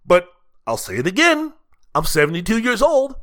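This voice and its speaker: A male sports podcaster with a notably high, sharp-edged voice. He delivers opinionated solo commentary, leaning on rhetorical questions and repetition. His delivery is emphatic and theatrical. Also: huge pitch swings, normal speed.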